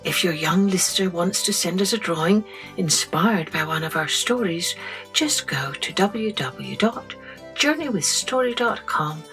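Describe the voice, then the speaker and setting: A woman narrating a scripted children's story as a podcast host, with expressive, deliberate delivery. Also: on the low side, soft background music, poor audio.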